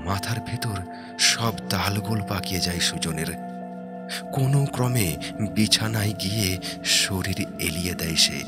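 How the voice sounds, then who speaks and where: A man narrating a horror story in a dramatic, suspenseful way, in short halting phrases. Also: deep voice. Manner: suspenseful, halting short phrases, dropping to hushed breathy tones. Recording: background music under the voice. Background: prominent music.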